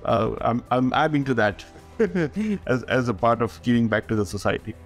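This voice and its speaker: A man from India, an interview guest chatting in a quick, easygoing way. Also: medium pitch, soft background music.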